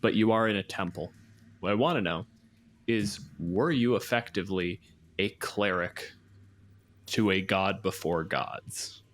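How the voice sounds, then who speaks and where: Low-voiced man running a tabletop game. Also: measured pace, fairly bright tone. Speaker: game master. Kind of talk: casual improvised tabletop game narration.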